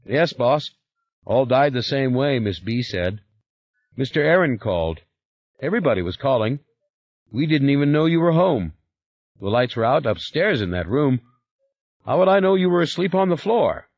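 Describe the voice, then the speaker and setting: A fretful male house servant, rattling on fast and high with deferential eagerness, as a narrator puts him on. The audiobook sound is dull and dry. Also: big pitch swings, dry recording. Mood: anxious, rambling.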